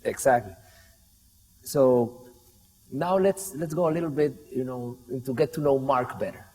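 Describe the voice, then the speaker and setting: A man from Chile who hosts the interview and asks relaxed, informal questions. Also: mid-range voice, measured pace, breathy edge. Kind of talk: conversational interview. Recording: reverberant room.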